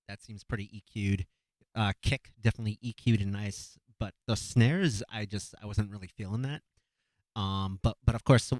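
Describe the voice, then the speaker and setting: Relaxed low-voiced man breaking down a battle. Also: no echo. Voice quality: naturally low voice. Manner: relaxed, hesitant, many fillers and restarts. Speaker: beatbox battle analyst. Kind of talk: casual commentary over a video.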